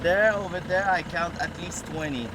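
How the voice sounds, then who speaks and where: A man's voice: high voice, normal speed, background noise. A man from Montreal vlogging from a safari jeep, chatty and teasing his travel partner. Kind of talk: casual travel vlog chat.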